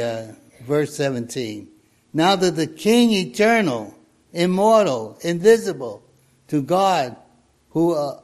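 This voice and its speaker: A man in his eighties preaching a sermon, reading scripture in a slow, measured way. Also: on the high side, darkish tone. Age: 80s.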